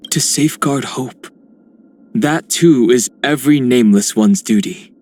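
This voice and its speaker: Man voicing a slow, grave guardian. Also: medium pitch, dark tone, close to an everyday voice. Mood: solemn respect. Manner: measured, grave. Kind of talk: scripted game character voicelines.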